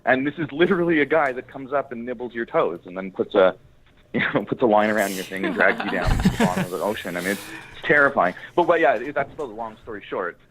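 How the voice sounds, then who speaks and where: A man's voice: mid-range voice, animated, fast, noisy background. A man chatting casually as an interviewed screenwriter, talking in an effusive, emphatic, rambling way.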